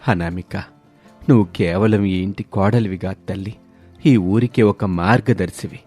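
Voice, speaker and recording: A grateful old village father-in-law played by a male narrator speaks low and earnestly. He is affectionate and emotional, a storybook family elder, with music underneath. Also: measured pace, darkish tone, slightly rough. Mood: grateful, moved.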